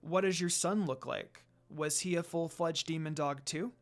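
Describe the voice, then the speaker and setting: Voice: medium pitch, normal speed, soft background music, lightly acted. A reader voicing a kind young woman healer in a fan fiction story, earnest and reassuring in manner. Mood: curious.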